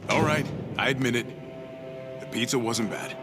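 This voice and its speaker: An actor playing a friendly, steadfast superhero leader and loyal old comrade in a video game, easygoing and straightforward. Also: male voice, medium pitch, moderate pace, music in the background, close to an everyday voice. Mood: joking. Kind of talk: cinematic video game acting.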